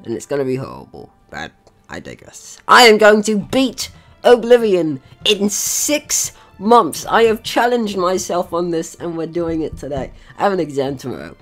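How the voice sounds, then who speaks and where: A young adult gaming host giving casual let's play commentary in an excitable, sweary, rambling manner. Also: medium pitch.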